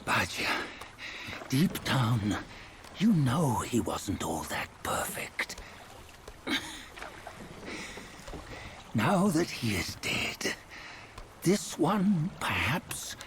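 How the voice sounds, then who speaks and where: An actor playing a solemn, wise old mentor in a fantasy game, speaking in a grave, deliberate, formal and creaky way. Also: male voice, medium pitch, very animated, background noise, exaggerated voice. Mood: grave, measured. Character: solemn, wise old male mentor guiding a chosen hero. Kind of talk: scripted fantasy game acting.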